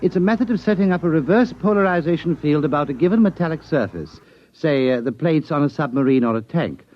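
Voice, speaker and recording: An actor playing a wry, quick-witted captain in the British army, teasing and persuasive, in a 1950s radio drama. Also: male voice, a little high, animated, natural voice. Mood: dryly amused. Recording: dull vintage radio broadcast.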